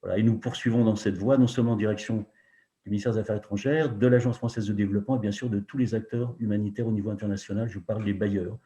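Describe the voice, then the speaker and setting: An older man, founder of a humanitarian organization, introducing himself on a webinar video call in a measured way, listing facts with frequent hesitations. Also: fairly low pitch, steady tone, mellow. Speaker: founder of a humanitarian NGO, panelist.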